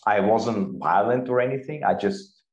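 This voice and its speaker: A middle-aged man from Bulgaria with a Bulgarian accent, telling a personal story deliberately and emphatically as an interview guest. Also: fairly low pitch, a little mellow, lo-fi sound. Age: 40s. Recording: echoey room.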